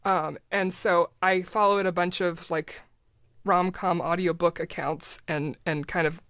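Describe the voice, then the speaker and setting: A middle-aged woman speaking as a podcast guest in a casual, halting, informal and rambling way. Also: on the low side, steady tone, fairly bright tone, no echo, studio quality. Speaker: podcast guest, audio drama creator. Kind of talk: casual interview chat.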